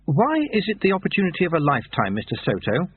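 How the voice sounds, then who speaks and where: A man's voice: on the high side, sing-song, fast talker, slightly rough, pitched above the performer's own voice. A man voicing an anxious, bewildered young novice who keeps asking questions. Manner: questioning, shaky and earnest. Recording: tinny phone-like sound.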